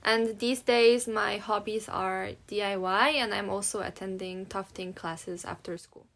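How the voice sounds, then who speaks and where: A woman's voice: medium pitch, fairly even intonation, measured pace, a bit bright, a little hiss or hum. A woman of twenty-two, introducing herself plainly with slight hesitation. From Poland. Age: 20s. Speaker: business student. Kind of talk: casual self-introduction.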